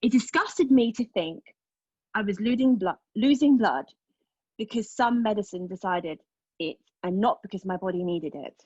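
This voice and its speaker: An actress in a table read of a play, playing an agitated, defiant, outspoken woman who speaks haltingly and emotionally. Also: medium pitch, expressive, a little mellow, husky, no echo, highs cut off. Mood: halting, confessional. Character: defiant, agitated woman arguing with a machine, giving personal testimony.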